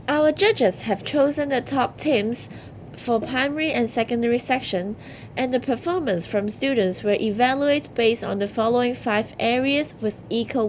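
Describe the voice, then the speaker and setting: A woman's voice: a little high, slight background noise, poor audio. A woman co-hosting a results announcement with scripted lines.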